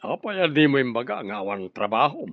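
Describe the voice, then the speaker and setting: Man playing easygoing Ilocano family man, radio drama, lively swooping intonation, slightly muffled audio. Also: mid-range voice, fairly quick, no echo. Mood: exasperated.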